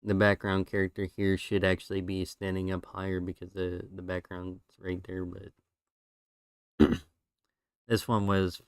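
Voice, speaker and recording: A man's voice: low-pitched, unhurried, clear, resonant tone, no room sound. A man streaming, chatting casually and rambling through his drawings while losing his voice. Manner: relaxed, rambling with fillers.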